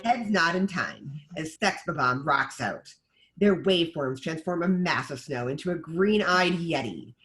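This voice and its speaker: A man's voice: fairly high pitch, lively intonation, measured pace, a bit bright, soft background music. A man reading stage directions as scripted narration for a table read.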